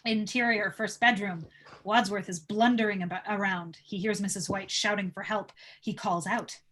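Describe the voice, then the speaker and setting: A woman narrates screenplay stage directions at a middling pitch. She reads scene headings and action lines in an informal table-read manner, as the narrator among a cast. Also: fairly even intonation, normal speed, fairly bright tone, slight background noise.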